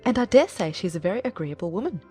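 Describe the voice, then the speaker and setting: A woman's voice: mid-range voice, sing-song, racing, dark tone, faint music behind, close to an everyday voice. A woman voicing a gushing, inquisitive middle-aged mother in a dramatized audiobook of a classic novel. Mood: curious, approving. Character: nosy, excitable middle-aged matchmaking mother.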